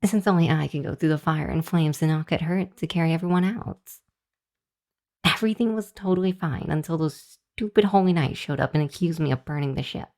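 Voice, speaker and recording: A woman voicing a shy, earnest young hellhound demon girl in an ASMR roleplay, speaking to the listener in a hesitant, flustered, halting way. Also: sits low, warm tone. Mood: earnest, worried. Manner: hesitant, flustered, halting with sighs and nervous chuckles.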